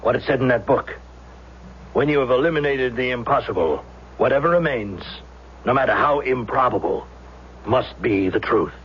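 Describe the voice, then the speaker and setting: A man's voice: mid-range voice, lively intonation, normal speed, natural voice. An actor playing an earnest, plain-spoken male rescuer in a 1970s radio drama. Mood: reflective. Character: steady, apologetic male rescuer investigating a fire. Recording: very noisy, muffled old radio recording.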